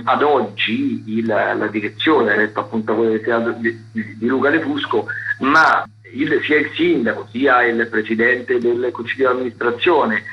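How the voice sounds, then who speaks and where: Halting man on a dull radio link. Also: mid-range voice. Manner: halting, self-correcting, wordy. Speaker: theatre critic, radio contributor. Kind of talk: spontaneous radio commentary. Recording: dull, band-limited remote radio link.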